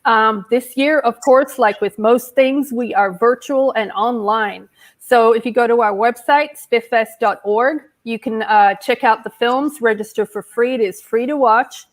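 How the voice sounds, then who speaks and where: A woman hosting an online film festival Q&A in a relaxed, conversational way with frequent ums. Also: a little high, unhurried. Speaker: festival host.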